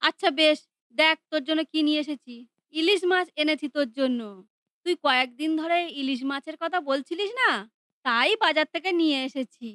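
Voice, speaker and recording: A woman's voice: high voice, normal speed, a bit bright, dry recording, clean studio sound. A woman voicing a bossy, spiteful mother in a cartoon who scolds sharply. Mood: scolding.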